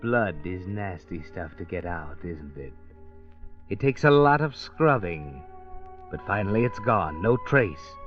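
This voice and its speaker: Ominous old-time radio mystery narrator. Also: male voice, deep voice, lively intonation, unhurried. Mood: sinister, coaxing. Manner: menacing, insinuating, clipped urging. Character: ominous all-knowing male narrator, needling a guilty woman. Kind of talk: 1940s radio mystery narration in second person. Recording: dull old radio recording with music behind.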